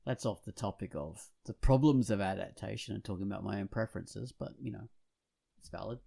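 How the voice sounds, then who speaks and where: A man co-hosting a podcast, speaking casually in a halting, reflective way. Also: fairly low pitch, creaky, warm tone. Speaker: podcast co-host. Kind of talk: casual conversational discussion.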